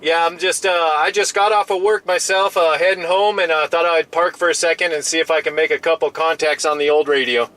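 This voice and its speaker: A man with a fairly high voice chats amiably from inside his parked truck as a mobile radio operator. He uses casual radio lingo and tends to double up his phrases. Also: steady tone, fairly quick, hardly any pauses, fairly bright tone. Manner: friendly, chatty, often repeats himself. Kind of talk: casual radio contact chat, using operator jargon.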